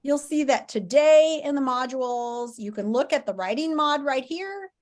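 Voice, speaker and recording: A woman's voice: sits high, normal speed. A woman teacher giving an informal, step-by-step lesson to students, thinking aloud as she explains.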